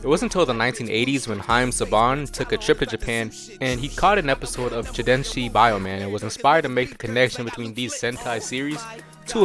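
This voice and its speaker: Casual young American man, music underneath. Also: mid-range voice. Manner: slangy, cursing, jokey. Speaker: video essay host. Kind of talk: casual scripted commentary.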